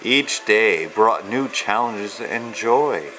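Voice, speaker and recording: Male author-reader for a children's picture book, lower register, very unhurried, measured storytelling delivery with pauses. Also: expressive, low-quality recording. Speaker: author and illustrator reading own children's book. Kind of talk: read-aloud storytelling of rhyming children's book.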